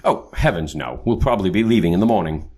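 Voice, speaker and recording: An actor playing a self-assured, punctual leader of secret agents in an audio drama, formal and commanding. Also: male voice, deep voice, lively intonation, quick pace, a little mellow, slightly rough. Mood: calm, assured.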